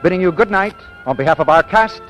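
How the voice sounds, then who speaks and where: A man's voice: sits high, animated, quick pace, a bit bright. An American man announcing for 1940s radio in a formal, emphatic, polished style. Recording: dull 1940s radio broadcast. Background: music.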